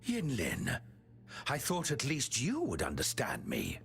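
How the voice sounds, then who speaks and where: An actor playing an obsessive, callous puppet-maker villain in a video game, theatrical, swinging between musing and menace. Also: male voice, medium pitch, wildly swooping pitch, measured pace, creaky, fairly bright tone, soft background music, exaggerated voice. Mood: wounded, brooding.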